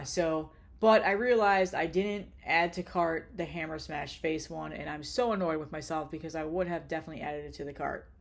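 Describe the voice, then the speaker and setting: A woman's voice: deep voice, vocal fry. A woman, nasal and congested from a cold. She chats casually on camera, rambling and profane, dropping frequent ums as she shows off her collection. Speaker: vlogger showing her cassette collection. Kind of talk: casual chatty collection vlog.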